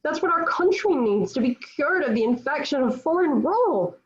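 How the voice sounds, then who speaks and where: A woman's voice: high voice, lively intonation, normal speed. A woman playing a defiant, devout young warrior held captive, with solemn, declamatory phrasing in a table read of a historical drama, on a dull lo-fi recording. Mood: fierce. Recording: dull, lo-fi home online recording.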